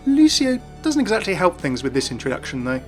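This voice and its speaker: A man's voice: high-pitched, wildly swooping pitch, fairly quick. A man hosting a gaming video, reading scripted narration. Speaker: YouTube video host. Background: music.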